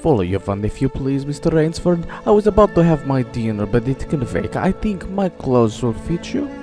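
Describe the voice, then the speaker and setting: An actor playing a courtly aristocratic general in a radio drama, formal and gracious while giving orders. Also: male voice, medium pitch, big pitch swings, moderate pace, over music, lightly acted. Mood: courtly, commanding.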